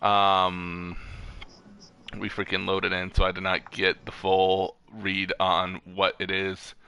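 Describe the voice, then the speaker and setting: A man's voice: low-pitched, monotone, slow pace, pushed and bright, smooth. A man hosting a casual gaming stream, reading off lists informally, stumbling over words and correcting himself. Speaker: streamer host.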